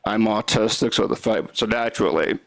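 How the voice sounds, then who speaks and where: A young man doing live stand-up with a stutter, talking slowly and haltingly with deadpan one-liners. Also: fairly low pitch, vocal fry, no echo. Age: young adult. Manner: slow, halting delivery with restarts. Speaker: stand-up comedian. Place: comedy club stage.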